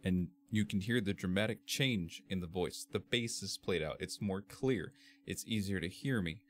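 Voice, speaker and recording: A man with a bass-heavy voice narrating a software tutorial in a measured, step-by-step way as its presenter. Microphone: computer microphone, screen-capture voice-over.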